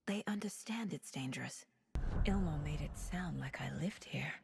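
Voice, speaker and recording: An actress playing a troubled, determined woman detective in a video game, thinking aloud in an intense, deliberate way. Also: sits low, animated, vocal fry, noisy background. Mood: focused, deducing. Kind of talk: dramatic video game voice acting.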